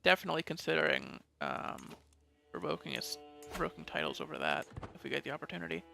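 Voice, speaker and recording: A man's voice: on the high side, huge pitch swings, fast talker, creaky, bright, forceful tone, faint music behind. A man giving casual let's play commentary, thinking aloud and reading bits of game text, with some mild swearing.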